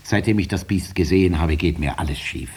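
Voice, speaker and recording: An actor playing a stubborn, put-upon farmer with dry cheek and a husky voice in a 1950s radio drama. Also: male voice, low-pitched, a little fast, darkish tone. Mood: aggrieved.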